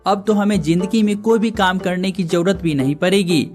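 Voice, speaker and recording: A performer plays a greedy, idle young village man in a cartoon moral tale. He talks in exclamations, in a middling pitch, with music playing behind him. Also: steady tone, moderate pace, a little mellow, lightly acted. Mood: excited. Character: greedy, lazy young village brothers seeking treasure. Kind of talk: cartoon moral story dialogue.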